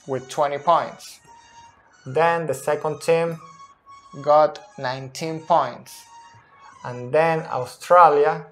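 A man in his thirties whose first language is Spanish hosts an unscripted sports explainer. His mid-pitched voice moves haltingly and deliberately, picking words with care. He is recorded on a cell phone in a small room, with faint music. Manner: slow, halting, deliberate delivery in non-native English. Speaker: video host, soccer analyst. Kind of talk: unscripted explainer at a tactics board. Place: small indoor room at a whiteboard. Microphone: cell phone at close distance.

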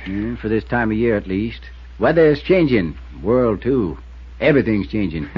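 An actor playing a laconic middle-aged small-town New constable, with a drawl and clipped folksy phrasing, in 1950s radio drama style. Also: male voice, lowish voice, expressive, normal speed, breathy edge. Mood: musing. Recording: muffled 1950s radio recording.

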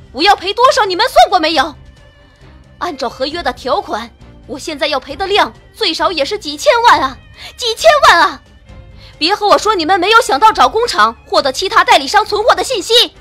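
A woman voicing a demanding, angry young female company boss in an audiobook, with a sharp, commanding, scolding manner. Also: extremely high pitch, voice pushed up, heavily acted. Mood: furious outburst. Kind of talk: dramatic audiobook character acting.

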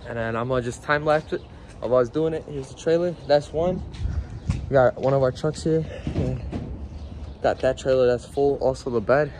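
A man's voice: mid-range voice, normal speed, darkish tone, slight background noise. A young man talking casually into his phone camera, laid-back and slangy, as he films his work day. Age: young adult. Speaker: vlogger. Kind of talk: casual vlog chat. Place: outdoors in a parking lot by a work trailer. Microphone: phone camera held at arm's length.

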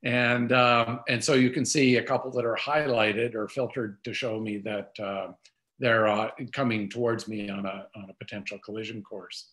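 A man's voice: fairly low pitch, steady tone, moderate pace, fairly bright tone. An older man giving an informal, explanatory webinar product demonstration over a video call. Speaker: presenter.

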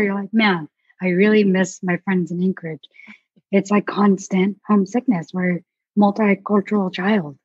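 A young woman from Alaska, an artist chatting as a guest in a relaxed, rambling way with many fillers. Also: mid-range voice, husky, no echo, muffled recording. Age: young adult. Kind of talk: casual interview chat.

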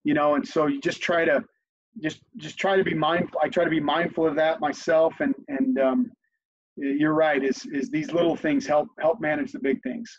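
A slightly high-pitched man in his forties talks in a relaxed, reflective way, circling back over his words as he gathers his thoughts. He is on a video call from a home office, and the webcam audio sounds dull and lo-fi. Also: moderate pace. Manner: reflective, repeats words while thinking. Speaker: company CEO, guest. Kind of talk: conversational interview.

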